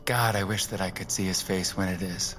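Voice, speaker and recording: An actor playing a shrewd antiques appraiser in an audio drama, dry, professional and deliberate. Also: male voice, deep voice, fairly even intonation, a bit bright, in a large hall. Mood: polite, wry. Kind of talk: dramatic audio fiction acting.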